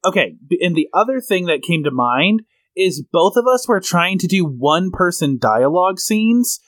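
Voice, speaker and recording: A lively man co-hosting a comedy podcast, his voice sitting a bit high and bouncing around a lot as he explains and tells stories. He is close on the mic in a dry, dead room. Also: normal speed, dry recording. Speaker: podcast co-host. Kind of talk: casual comedy podcast chat.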